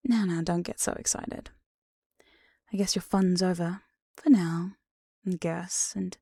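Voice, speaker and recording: A woman playing a teasing, domineering demon jailer in whispered ASMR roleplay, close on a binaural mic, slow, coaxing and mock-sweet. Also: lowish voice, fairly even intonation, dark tone, no echo, full character voice. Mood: grudging. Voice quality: breathy whisper. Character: teasing, domineering demon mistress of a dungeon jail. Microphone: close binaural studio microphone.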